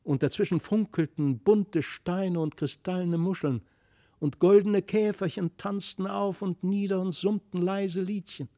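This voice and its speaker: A man reads a fairy tale in with expressive, literary phrasing. His voice sits fairly high for a man but stays mellow and soft-edged. The sound is dry and close. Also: a little slow, dry recording. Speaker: audiobook reader.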